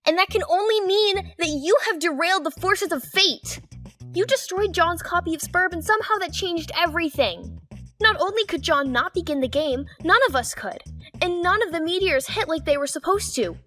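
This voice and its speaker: A woman's voice: extremely high pitch, animated, moderate pace, sharp tone, soft background music, heavily acted. A woman voicing an earnest, suspicious, bossy teenage girl in a fan dub, speaking in an emphatic, lecturing way. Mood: accusing, earnest.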